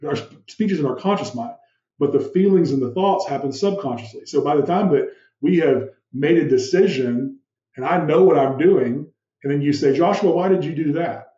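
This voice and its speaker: A man's voice: on the high side, normal speed. Commanding man with a muffled, roomy sound. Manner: animated, uses vivid room analogies and rhetorical questions; describes his own voice as big and commanding. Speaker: podcast guest, business founder. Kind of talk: explanatory interview talk.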